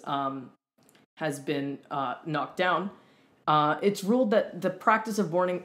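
A young Canadian man co-hosting a talk show, explaining in an earnest, measured way with deliberate pauses. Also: fairly high pitch, a bit bright. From Winnipeg, Canada. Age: young adult. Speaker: co-host. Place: room at home with whiteboard and fridge, indoors.

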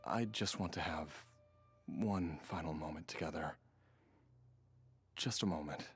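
An actor playing a dying middle-aged husband and father, speaking softly and with quiet resignation. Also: rumbling low, measured pace, husky, faint music behind, exaggerated voice. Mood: resigned. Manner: soft, resigned, wistful.

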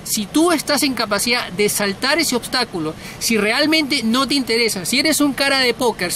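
Middle aged guy doing a sales lesson in his car, really high voice, blunt and emphatic, bit of background noise. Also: bright, forceful tone. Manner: blunt, emphatic, repeats words for stress. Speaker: sales trainer, host. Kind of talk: informal sales lesson to camera. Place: inside a parked car.

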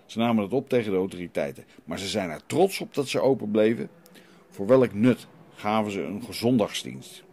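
Guy playing a defiant stern priest in an audio drama, low voice, very animated, preachy and challenging. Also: vocal fry. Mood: indignant. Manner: challenging, preachy, rhetorical questioning. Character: stern, defiant renegade priest hearing confession. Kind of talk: radio play dialogue. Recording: light background noise.

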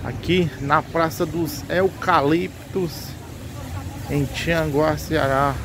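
A man's voice: fairly high pitch, steady tone, slow, noisy background. A man, vlogging casually as he walks an outdoor street market, chatting with vendors and repeating their prices to viewers. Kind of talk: casual street vlog chat. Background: background music.

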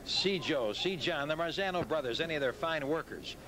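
A man's voice: a little high, animated, fairly quick, crowd noise. A middle-aged American man presenting, interviewing and commentating as host of a local bowling TV show. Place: large indoor bowling alley. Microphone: handheld microphone. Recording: noisy videotape, bowling alley ambience.

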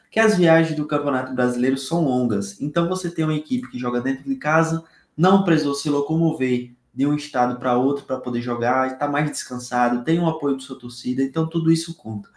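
A man's voice: mid-range voice, fast. A young Brazilian man hosting a casual sports-betting tips video from a small home room, chatty and upbeat, talking straight to his viewers. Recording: echoey room sound.

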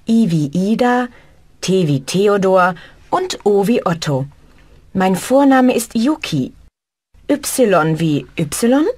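A woman's voice: medium pitch, darkish tone, dry recording, exaggerated voice. A woman voicing a young female student who is a native Japanese speaker, politely applying for a job in a scripted language-course dialogue. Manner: polite, careful and clearly articulated. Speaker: job applicant in a textbook dialogue.

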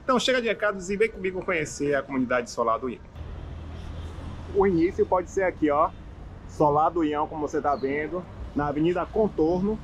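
A young Bahian man gives a chatty, upbeat walking tour outdoors, pointing out sights as he goes. His voice sits a little high for a man. Faint street noise hums in the background. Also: lively intonation, quick pace, poor audio. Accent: Bahian Brazilian Portuguese. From Salvador, Bahia, Brazil. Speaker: travel vlogger. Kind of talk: casual walking-tour vlog. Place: outdoors on a seaside hillside street.

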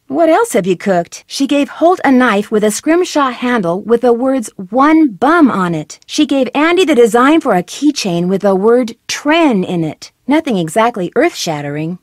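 A curious, upbeat young girl detective in a point-and-click mystery game. Her voice sits fairly high and swings up and down as she muses over clues and asks for hints. The studio recording is close and dry. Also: no room sound. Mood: chatty. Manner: inquisitive, chatty, asks for hints. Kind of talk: studio video game voice acting.

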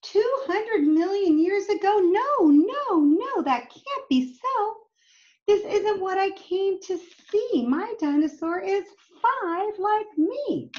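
A woman's voice: extremely high pitch, big pitch swings, takes it slowly, a little mellow, muffled recording, pitched above the performer's own voice, exaggerated voice. A woman voicing an earnest five-year-old boy from a picture book, searching for his lost pet. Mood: indignant, insistent. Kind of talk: expressive picture-book reading.

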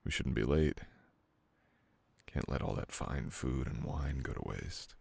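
Young male lead, very low gravelly tone, teasing and soft-spoken; scripted romance audio. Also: expressive, unhurried, no echo. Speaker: audio roleplay performer. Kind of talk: scripted boyfriend roleplay ASMR.

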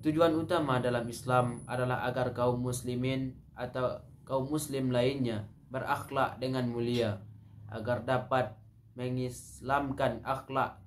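A man's voice: mid-range voice, flat delivery, slight background noise. Solemn teenage boy giving a sermon. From West Sumatra, Indonesia. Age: late teens. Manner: measured, solemn oratory with chanted verses. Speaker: student sermon contestant. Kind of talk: formal Friday sermon with Quranic recitation.

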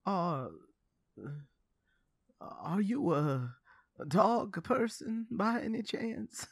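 A man's voice: high-pitched, lively intonation, extremely slow, no room sound, clean studio sound, heavily acted. A man playing a timid, flustered husband in a horror radio play, stammering nervously and apologising.

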